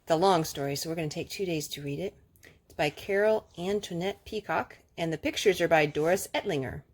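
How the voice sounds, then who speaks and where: A woman's voice: on the low side, a little fast. A woman reading a children's storybook aloud expressively, with casual asides to children. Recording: clearly noisy home recording with clicks.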